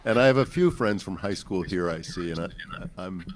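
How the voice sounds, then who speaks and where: A man's voice: low voice, animated, fairly quick. A man in his eighties talking informally as he answers audience questions about his own memories. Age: 80s. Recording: slightly noisy live event recording.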